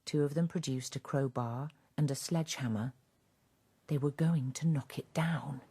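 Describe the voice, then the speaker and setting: A woman narrating a radio drama in a measured, scripted storytelling manner. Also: a bass voice, warm tone, husky.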